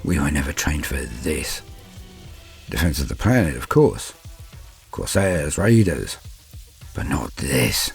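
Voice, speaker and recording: A man's voice: a bass voice, sing-song, vocal fry, breathy edge, faint music behind, voice pushed down, full character voice. A man playing a fearful, despairing human soldier, telling his story in grave, theatrical first-person narration. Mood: hushed dread. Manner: grave, theatrical, deliberate phrasing.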